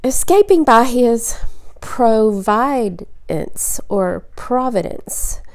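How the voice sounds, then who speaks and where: A woman with a raspy voice, sick with the flu, teaching an earnest devotional Bible study and restating points for emphasis. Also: fairly high pitch, lively intonation, very slow, a little mellow.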